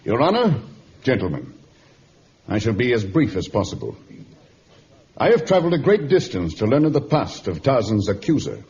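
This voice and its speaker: An actor playing a grave, courteous sea captain, formal and deliberate, in a vintage radio adventure serial. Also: male voice, mid-range voice, huge pitch swings, darkish tone. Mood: composed, grave. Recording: muffled, faintly noisy old radio recording.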